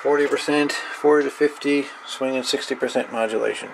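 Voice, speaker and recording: Gravelly man over clear background noise. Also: mid-range voice, fairly even intonation, brisk. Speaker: electronics hobbyist demonstrating a DIY radio project. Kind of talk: casual hands-on tutorial, unscripted. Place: indoors at a cluttered electronics workbench. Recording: noisy camera microphone at a workbench.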